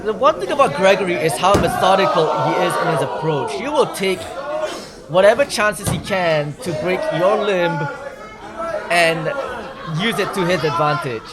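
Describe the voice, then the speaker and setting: A man with a high voice calls a wrestling match live. He builds his phrases in a measured way, repeating words for emphasis. Heavy noise and a very loud crowd fill the arena recording around him. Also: lively intonation. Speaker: wrestling commentator. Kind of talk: live play-by-play sports commentary.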